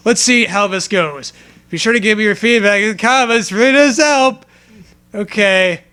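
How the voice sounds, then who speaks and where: High-pitched, sarcastic man. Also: normal speed, bright, forceful tone, smooth. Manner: sarcastic, profane. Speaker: comedy show co-host. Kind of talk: mocking read-aloud of fan fiction with banter.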